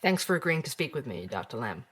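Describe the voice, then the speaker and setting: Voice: medium pitch, very animated, quick pace, a bit bright, husky. An actor playing a dry-witted, persistent podcast host in an audio drama, narrating and interviewing conversationally. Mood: probing, conversational. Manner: wry, conversational.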